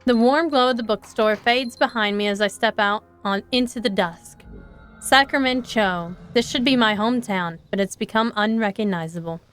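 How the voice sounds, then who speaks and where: A woman's voice: medium pitch, moderate pace, fairly bright tone, clear, resonant tone. A woman streaming a visual novel, reading it aloud expressively and chatting along, with faint music behind. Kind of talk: live game commentary and dramatic read-aloud of a visual novel.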